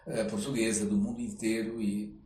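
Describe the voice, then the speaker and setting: An older man with a Brazilian accent, telling personal anecdotes as an interview guest in a halting, reflective way. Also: lowish voice, level tone, a little mellow. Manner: halting, reflective, frequent tag questions. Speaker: interview guest, actor and storyteller. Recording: roomy echo, clear background noise.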